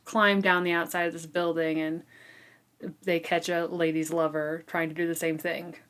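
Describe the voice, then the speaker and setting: A woman podcast co-host with a voice a little on the low side. She talks casually and informally, recapping a book in an easy conversational style. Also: flat delivery, normal speed, a bit bright, clean tone.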